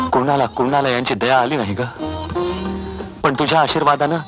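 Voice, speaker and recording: An actor playing a devoted son who runs a shelter for the poor, speaking earnestly and from the heart. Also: male voice, medium pitch, natural voice. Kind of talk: melodramatic film dialogue.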